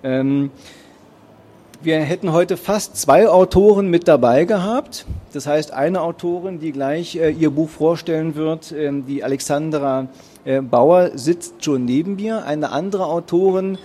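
Man, Berlin accent, tired, hesitant with fillers, fairly high mellow voice, noisy fair hall. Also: a little slow. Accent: Berlin German. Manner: hesitant, many ums and uhs. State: tired after a long fair day. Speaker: publisher presenting at a book fair stage. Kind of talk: spontaneous presentation to an audience.

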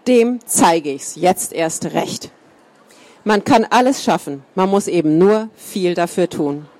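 Calm woman reading aloud, dry. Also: on the low side, slow pace, dry recording. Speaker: reader. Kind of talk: reading a first-person account aloud.

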